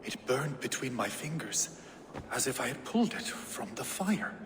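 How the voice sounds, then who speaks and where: A male actor playing a world-weary, brooding Viking warlord in a video game, with a grave, deliberate delivery. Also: medium pitch, sing-song, close to an everyday voice. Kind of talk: dramatic video game acting. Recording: echoey reverberant space, loud background noise.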